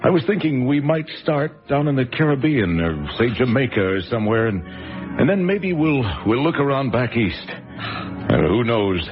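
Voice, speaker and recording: A man's voice: medium pitch, big pitch swings, moderate pace, lo-fi sound, soft background music, natural voice. An actor playing a groggy, hesitant man in a radio drama, dreaming of leaving town. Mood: wistful.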